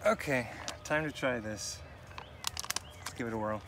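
A man's voice: medium pitch, a little slow. Chatty man cooking in the woods. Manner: chatty, self-deprecating, thinks aloud with fillers. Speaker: outdoor vlogger. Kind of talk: casual outdoor cooking commentary. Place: outdoors in autumn woods. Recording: outdoor recording with clear background noise.

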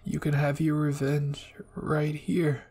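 A man voicing a wounded, remorseful young villain in an anime roleplay, speaking softly in a halting, weary way. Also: fairly high pitch, monotone, breathy edge, full character voice. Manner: halting, weak, pleading. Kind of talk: anime ASMR roleplay acting.

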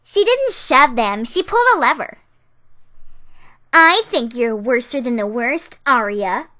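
Squeaky, ditzy teen siren girl. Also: lively intonation, a little slow, pushed and bright. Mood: perky, naive. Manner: chirpy, airheaded. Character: ditzy, bubbly, childish teenage girl siren, naive and perky. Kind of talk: cartoon character voice reel.

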